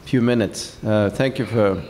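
Hesitant low-voiced man on stage. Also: unhurried, darkish tone, long reverb tail, slight background noise. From France. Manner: hesitant, many fillers. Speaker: guest speaker. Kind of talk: onstage conversation. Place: large event hall with seated audience. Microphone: handheld mic.

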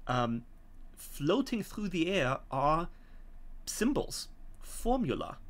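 A middle-aged man narrating a tabletop horror game as game master, deliberate and often pausing, on a home video call. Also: fairly high pitch, big pitch swings, fairly bright tone.

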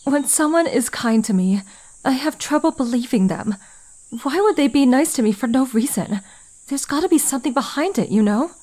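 A woman playing a guarded, gentle, melancholy young woman in an anime dub, soft-spoken and hesitant. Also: high voice, animated, normal speed. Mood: wistful. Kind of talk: anime manga dub acting and narration.

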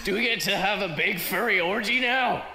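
A woman's voice: mid-range voice, brisk, creaky, heavy background noise, natural voice. A woman playing a crude, lewd stoner cartoon character in an improvised game parody. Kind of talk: improvised comedic game fandub. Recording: echoey, loud music behind.

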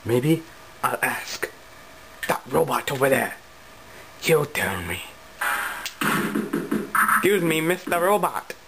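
Homemade skit role: amateur as bratty, exclamatory game-cartoon hero, mid-pitched and slow, noisy recording. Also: big pitch swings, vocal fry, full character voice. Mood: irritated. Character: grumpy, pleading, hapless cartoon video game hero.

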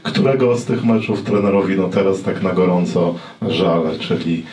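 A reporter puts long, winding questions to a coach. His voice is very deep. It is picked up from a distance in a reverberant press room. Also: normal speed, a crowd in the background. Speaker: journalist. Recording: echoey room, distant mic, faint noise.

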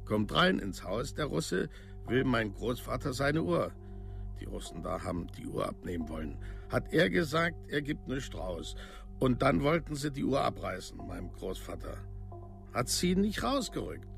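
Gravelly, worn-down village parent. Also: male voice, medium pitch, very animated, over music. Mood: somber, haunted. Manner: colloquial, clipped, halting sentences. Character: parent looking back on family hardship, plain-spoken, worn down. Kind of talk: documentary radio drama monologue.

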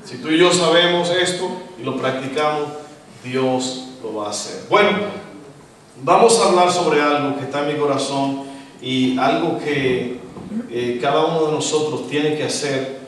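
A middle-aged Dominican man with a Dominican Spanish accent, preaching a sermon as guest pastor, animated and asking the congregation questions. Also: on the high side, unhurried, far from the mic, slight background noise. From Dominican Republic. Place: church stage, indoors, large hall. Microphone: handheld mic. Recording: echoey hall, recorded from afar.

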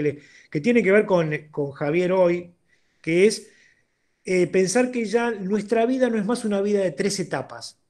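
High-pitched older Argentine man. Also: darkish tone. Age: 60s. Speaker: interviewed guest, accountant turned entrepreneur. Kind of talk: informal seminar talk on a video call. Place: indoor room at home, video call.

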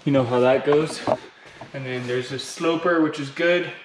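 A man's voice: mid-range voice, moderate pace, background noise. Casual young man in an echoey gym. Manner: laid-back, swears freely, slangy. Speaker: climbing vlogger. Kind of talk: casual talk to camera between climbs. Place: indoor bouldering gym. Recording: echoey gym with music behind. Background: background music.

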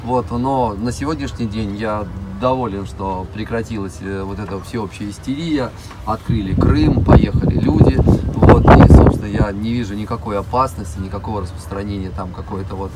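Casting: middle-aged man, park director showing visitors around, casual phrasing with pauses, recorded outdoors in a vehicle. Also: fairly low pitch, noisy background. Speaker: zoo director giving an interview and tour. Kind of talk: casual guided tour talk. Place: open cab of a small vehicle driving through an outdoor park.

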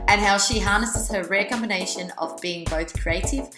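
A woman's voice: mid-range voice, moderate pace, reverberant, music in the background. A woman in her thirties who grew up partly in Vietnam, hosting a podcast with a read intro and a bubbly, laughing chat. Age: 30s. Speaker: podcast host. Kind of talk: scripted intro then casual chat.

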